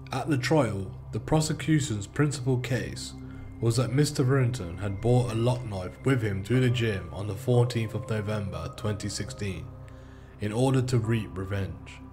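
British male narrator, lowish voice, steady formal true-crime read over a faint music bed. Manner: measured, formal case-report reading. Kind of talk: scripted narration.

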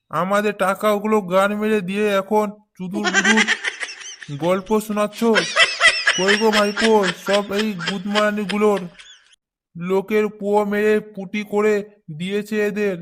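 A man voicing a middle-aged, foul-mouthed neighbourhood uncle in a comedy cartoon, blunt and quick to curse. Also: high-pitched, fairly bright tone, a little hiss or hum. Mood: furious, accusing. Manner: blunt, scolding, full of curses.

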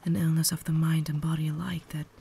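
A woman's voice: low voice, level tone, fast talker, gravelly, slight background noise. A woman playing a cultured, caring vampire lady in a refined, whispered ASMR roleplay, holding herself back. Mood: apologetic, gentle. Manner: refined, formal, soft-spoken whisper.

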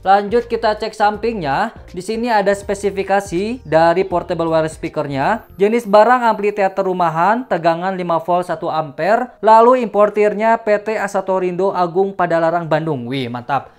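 A man's voice: high-pitched, normal speed, hardly any pauses. A man in his thirties giving an enthusiastic, casual product review as a gadget reviewer. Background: background music.

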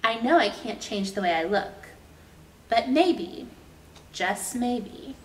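A young woman reads a picture book to small children in an expressive storytime style. She takes her time with each phrase. The recording is roomy, picked up at a distance from the mic, with faint noise. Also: a little high, slow. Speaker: library storytime host reading aloud. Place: indoor room with painted mural.

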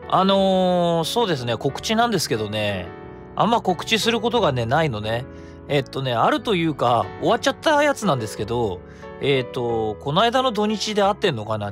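Guy hosting a radio show, super animated swoopy voice, rambling and thinking out loud, music behind him. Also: medium pitch. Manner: chatty, rambling, thinking aloud with self-corrections. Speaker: radio host. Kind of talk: casual solo radio talk with announcements. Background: background music.

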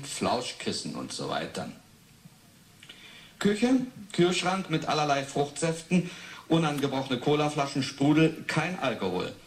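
An actor playing a formal, sceptical investigator in a radio drama, matter-of-fact and clipped. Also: male voice, a little high. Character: sober, probing official investigator, formal and sceptical. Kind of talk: 1980s German radio drama acting. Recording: roomy sound, faint noise.

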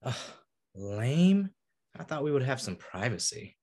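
A man plays a narcissistic, dismissive date in his thirties for a comedy read. His voice is husky and moves up and down a lot. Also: mid-range voice, sing-song, measured pace, darkish tone. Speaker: actor in a table read. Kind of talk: comedy table read acting. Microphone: video call.

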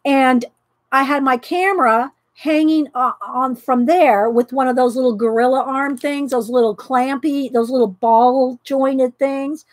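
A middle-aged woman hosting a casual craft chat from her home craft room, talking loudly and laughing often. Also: high voice, fairly even intonation, unhurried, no echo. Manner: loud, chatty, laughs often. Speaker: craft video host. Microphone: overhead camera pointing down at desk.

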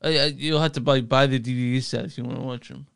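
A man's voice: medium pitch, fairly even intonation, fast, gravelly, fairly bright tone, clean tone. A man co-hosting a movie podcast, laid-back and joking as he plugs the show. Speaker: podcast co-host. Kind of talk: casual podcast banter.

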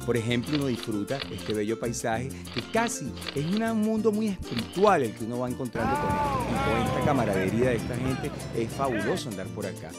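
A man narrating a travel program in a reflective, lively way. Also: mid-range voice, brisk, few pauses. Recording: music bed behind.

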